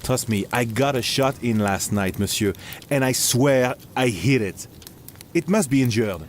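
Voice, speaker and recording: A man's voice: medium pitch, lively intonation, measured pace, slight background noise, close to an everyday voice. An actor playing a respectful, plain-spoken male night guard in an audio drama. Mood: uneasy. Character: deferential, earnest male night guard, sure of himself.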